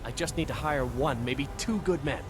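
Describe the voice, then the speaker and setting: An actor playing a proud, stubborn husband in a video game, speaking in an insistent way. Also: male voice, medium pitch, moderate pace, noisy background, natural voice. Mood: defensive. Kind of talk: video game character dialogue.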